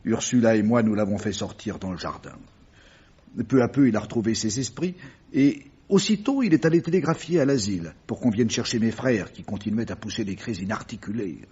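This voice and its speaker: Témoin ébranlé, voix très éraillée. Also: male voice, fairly low pitch, expressive, a little fast, dark tone, slightly rough, lo-fi sound. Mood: haunted. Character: shaken retired tin-mining man, bereaved brother and witness. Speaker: actor in a radio mystery play. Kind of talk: French radio drama acting.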